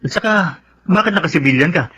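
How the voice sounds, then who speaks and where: A man's voice: fairly high pitch, animated, quick pace, pushed and bright, muffled recording, slight background noise. An actor playing a cheeky, girl-crazy young soldier sidekick in a Filipino radio drama, whiny and bantering, with a Filipino accent. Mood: cheeky scheming.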